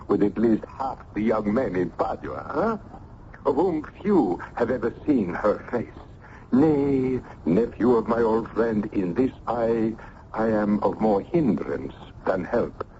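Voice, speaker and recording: An actor playing a learned, genial but wary older medical professor in a radio drama, ruminative and courtly with hesitant pauses. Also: male voice, lowish voice, lively intonation, dark tone. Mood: wry warning. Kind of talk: old-time radio drama acting. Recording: dull, noisy old radio recording.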